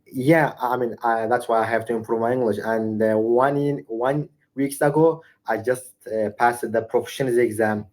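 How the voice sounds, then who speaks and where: A young man whose first languages are Arabic and Sorani Kurdish, speaking English haltingly over a video call with frequent fillers and restarts. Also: mid-range voice, steady tone, darkish tone. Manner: hesitant, many restarts and fillers. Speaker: English learner. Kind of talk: casual online conversation practice.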